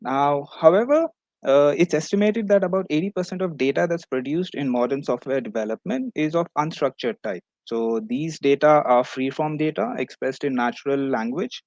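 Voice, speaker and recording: A man's voice: fairly high pitch, animated, normal speed, darkish tone, no echo, highs cut off. A man giving a prepared academic presentation as a researcher at an online conference.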